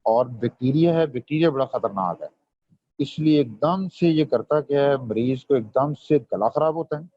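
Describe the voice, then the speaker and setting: A man's voice: mid-range voice, lively intonation, moderate pace, no echo. A man gives an online medical revision lecture, quizzing students and mixing Hindi with English terms. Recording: dull dry lo-fi audio.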